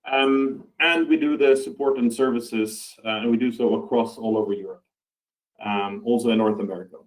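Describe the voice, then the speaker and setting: A man from Norway giving a conference talk over a video call, speaking haltingly with many fillers and restarts. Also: medium pitch, steady tone, rough recording. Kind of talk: online conference presentation.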